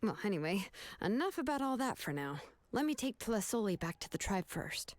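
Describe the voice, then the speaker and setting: Woman acting a lively, easygoing young craftswoman. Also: mid-range voice, moderate pace, no room sound, close to an everyday voice. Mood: musing, reassuring. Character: laid-back, capable young craftswoman taking on an apprentice. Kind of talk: video game voice acting.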